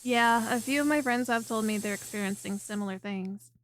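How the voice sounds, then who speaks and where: A woman playing a friendly, clingy female lamia chiropractor in an ASMR roleplay, speaking in a soft, coaxing and teasing way directly to the listener. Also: a little high, brisk, dry recording, close to an everyday voice. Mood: wry, candid. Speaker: voice performer.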